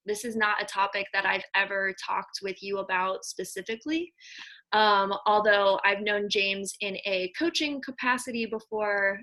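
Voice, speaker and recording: Bright-voiced American woman, effusive podcast host. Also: mid-range voice. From Nebraska, US. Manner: effusive, affectionate, informal. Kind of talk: casual conversational guest introductions.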